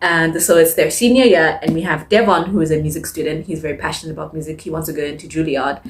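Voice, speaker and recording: A woman reviewing books in a casual, chatty way, expressive and emphatic, often repeating words to stress them. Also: low voice, fast, a little hiss or hum. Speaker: book reviewer. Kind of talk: casual chatty monthly reading wrap-up.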